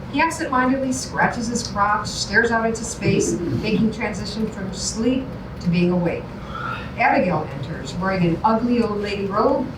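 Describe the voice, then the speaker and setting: A woman reading scripted stage directions aloud as the narrator at a staged reading. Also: medium pitch. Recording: echoey, noisy room, distant mic.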